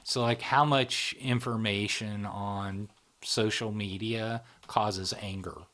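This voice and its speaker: A middle-aged man with a somewhat low voice explains ideas step by step. He keeps asking "right?" to check that the listener is following. His delivery is patient and conversational. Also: fairly even intonation, takes it slowly, a bit bright. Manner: teacherly, checks in with "right?" often. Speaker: psychology channel founder, explaining.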